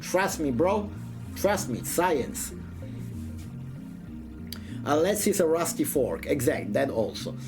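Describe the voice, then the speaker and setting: A man's voice: on the high side, big pitch swings, slow pace, pauses often. A man chatting casually as a vtuber streamer during a visual novel reading, with a playful, exclamatory, joking manner. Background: music.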